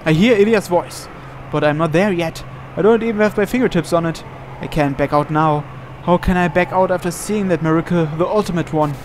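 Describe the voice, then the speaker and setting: Guy doing a visual novel readthrough, kinda high voice, some noise behind him. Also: fairly quick, few pauses. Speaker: let's player reading a visual novel aloud. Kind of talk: dramatic read-aloud of visual novel narration with brief asides.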